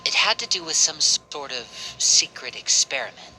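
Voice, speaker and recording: A man playing a composed, loyal young team leader in a video game, measured, articulate and reassuring. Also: a little high, expressive, noisy background, natural voice. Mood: measured, earnest.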